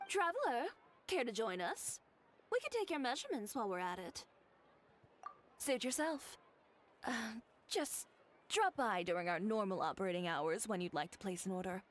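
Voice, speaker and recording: An actress playing a poised, perceptive woman tailor in a video game, speaking in a polite, measured, persuasive way. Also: mid-range voice, sing-song, slight background noise, natural voice. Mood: encouraging, assured. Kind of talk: scripted video game character dialogue.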